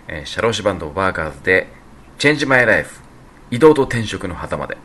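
A man's voice: low voice, sing-song, fast talker, a bit bright, slight background noise. A man chatting casually as co-host of a radio show. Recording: faint music behind the talk. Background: faint background music.

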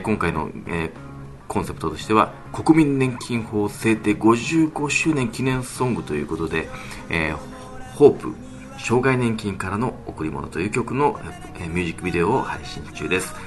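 A man with a fairly deep voice talks on a radio show. His intonation swings widely as he explains things politely, often pausing on drawn-out hesitations. Soft music plays behind him. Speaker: band leader, guest talker on radio show. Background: background music.

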